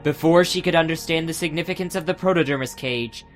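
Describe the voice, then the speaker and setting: A man's voice: on the high side, fast. A man giving a scripted, dramatic narration of a fantasy audiobook. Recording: music behind the voice.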